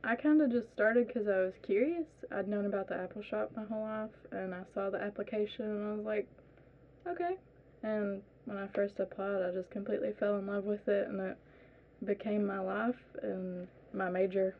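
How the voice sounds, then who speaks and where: A teenage girl from eastern Kentucky talking quickly and casually about how she started. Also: medium pitch, steady tone, slight background noise. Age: teens. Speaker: student guest. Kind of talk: radio interview. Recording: narrow phone-like sound.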